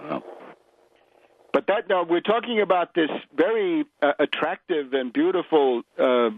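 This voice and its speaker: Male talk radio host, political interviews, somewhat high voice, hesitant phrasing, muffled lo-fi broadcast. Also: unhurried, no room sound. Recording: lo-fi, band-limited radio audio.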